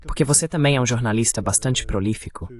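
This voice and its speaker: A man's voice: mid-range voice, quick pace. A man with a Brazilian accent hosting an interview in a translated voice-over. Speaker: interview host.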